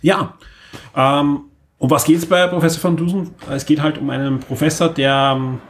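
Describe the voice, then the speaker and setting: A man's voice: on the high side, fairly even intonation, moderate pace. A man co-hosting a podcast in casual chat, wordy and enthusiastic, piling up emphasis in long monologues. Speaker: podcast co-host.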